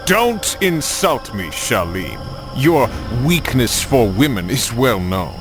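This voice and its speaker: An actor playing a cold, commanding Templar grandmaster in a video game, speaking with measured contempt. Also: male voice, mid-range voice, sing-song. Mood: cold, displeased. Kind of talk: dramatic video game acting. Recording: game cutscene with music behind.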